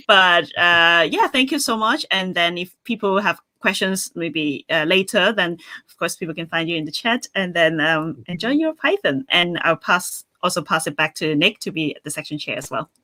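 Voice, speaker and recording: Bright, chatty woman hosting a Q&A. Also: medium pitch, dry recording. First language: not English. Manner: chatty, giggly, many fillers. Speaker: session chair. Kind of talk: casual Q&A moderation.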